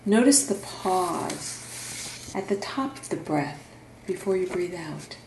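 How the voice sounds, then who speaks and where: A woman guiding a meditation in a soothing, gentle instructional manner, leaving long pauses between phrases. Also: lowish voice, lively intonation, gravelly. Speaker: meditation guide and therapist. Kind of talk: guided meditation narration. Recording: roomy echo, faint background noise.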